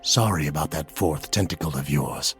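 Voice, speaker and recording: A man's voice: a bass voice, normal speed, a bit bright, soft background music. A man voicing a menacing, mocking, condescending supervillain in a theatrical comic book dub. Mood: mocking menace. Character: menacing, mocking male supervillain in powered costume.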